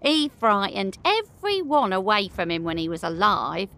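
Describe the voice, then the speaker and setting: A woman's voice: medium pitch, very animated, moderate pace, pushed and bright. A woman playing a scornful, thieving working-class charwoman in an audio drama. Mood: gleeful, brazen.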